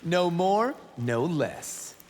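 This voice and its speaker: A man's voice: fairly high pitch, sing-song, very slow, long reverb tail, exaggerated voice. A man playing a proud, boastful young Shaolin warrior monk in a fighting game. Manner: boastful, teasing. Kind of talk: video game fight banter.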